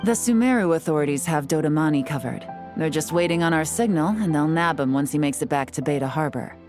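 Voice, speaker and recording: Shrewd secret agent, deep female register, composed, commanding, dryly wry, video game story scene. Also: animated, brisk, over music. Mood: businesslike.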